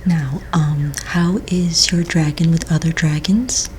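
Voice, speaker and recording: A woman playing a polite, patient office manager in a soft-spoken ASMR roleplay, with a breathy near-whisper and gentle, unhurried delivery. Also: deep voice, steady tone. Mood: calm, polite. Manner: gentle, unhurried, hesitant pauses while checking paperwork. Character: polite, patient female office manager at fantasy pet registry. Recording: faint-to-clear background noise, keyboard typing. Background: computer keyboard typing.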